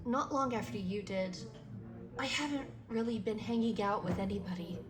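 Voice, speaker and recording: A woman playing a friendly, lonely high school senior in an audio drama, earnest and candid. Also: normal speed, crowd noise, lightly acted. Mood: candid, confiding.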